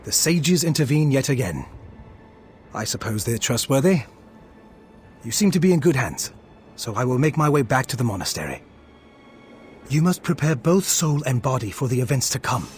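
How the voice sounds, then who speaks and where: An actor playing a courteous, caring warrior monk in a fantasy video game, with formal, expressive speech. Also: male voice, mid-range voice, normal speed, long pauses, lightly acted. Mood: grave, sympathetic. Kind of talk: scripted game dialogue. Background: game music.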